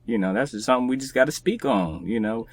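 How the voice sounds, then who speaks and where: A man's voice: mid-range voice, animated, fast. A man talking casually as a guest on a podcast. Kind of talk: casual chat.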